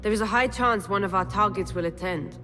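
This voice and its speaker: An actress playing a blunt, sharp-tongued woman assassin in a game, with a scornful edge. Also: medium pitch, brisk, soft background music, lightly acted.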